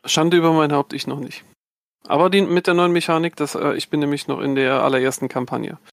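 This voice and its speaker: A man speaking as a podcast guest, explaining things in a calm, measured way. His voice stays very flat and level in pitch, recorded close and dry. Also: creaky, no room sound. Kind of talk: casual chat.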